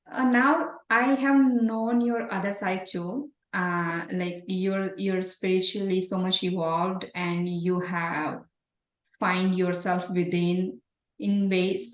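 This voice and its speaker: A woman hosting an interview, asking slow, gently encouraging questions. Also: mid-range voice, steady tone, roomy sound, poor audio. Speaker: interview host.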